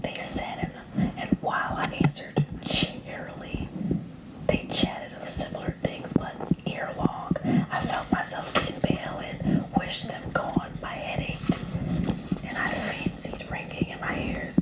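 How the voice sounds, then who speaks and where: Vampire narrator played by a woman, whispered ASMR horror tale, nervous murderer confessing, halting and dramatic, echoing room sound. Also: natural voice. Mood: uneasy.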